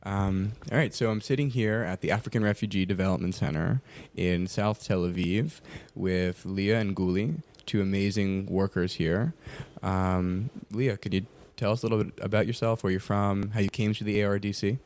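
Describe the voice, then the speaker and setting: A man interviewing in an office, asking questions in a relaxed, encouraging way. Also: on the low side, moderate pace. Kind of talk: casual interview. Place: office of an aid center.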